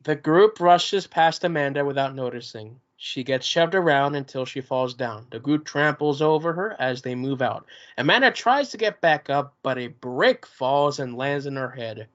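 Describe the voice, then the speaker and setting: A man reads stage directions in a plain, even way, mid-pitched and steady. The recording is dull and lo-fi. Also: moderate pace, hardly any pauses.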